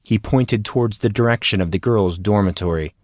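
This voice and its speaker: A man's voice: lowish voice, fairly quick, a little mellow, no room sound, studio quality. A man narrating a scripted story as the narrator of an audio drama.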